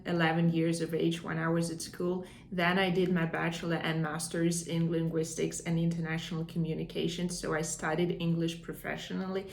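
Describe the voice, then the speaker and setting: A woman in her thirties from northern Russia who speaks English with a Russian accent, calmly explaining language skills as an English teacher, with the odd hesitant filler. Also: on the low side, echoey room, a little hiss or hum. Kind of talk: language lesson to camera.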